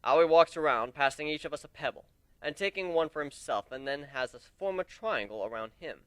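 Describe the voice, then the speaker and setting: A man's voice: a little high, lively intonation, brisk, a bit bright, smooth, no echo. A man giving an amateur dramatic reading of a first-person fantasy adventure story.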